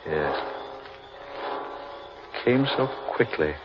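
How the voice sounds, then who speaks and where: A man's voice: very deep voice, very animated, very slow, noisy background, full character voice. An actor playing a grim, fatalistic man lost in a cursed forest, with ominous, theatrical delivery in old-time radio horror style. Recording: muffled old radio drama recording.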